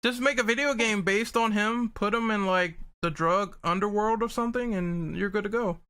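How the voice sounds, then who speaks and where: A man's voice: high voice, normal speed, pushed and bright, clear, resonant tone. A man talking casually as a panelist in a podcast group discussion.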